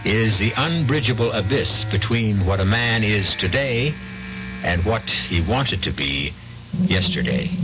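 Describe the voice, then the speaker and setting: Mystery radio host: low, widely inflected, solemn, wry, theatrical; muffled vintage broadcast. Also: male voice. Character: solemn, philosophical male storyteller host of eerie tales. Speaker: host and narrator of a mystery series. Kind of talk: scripted 1970s radio narration.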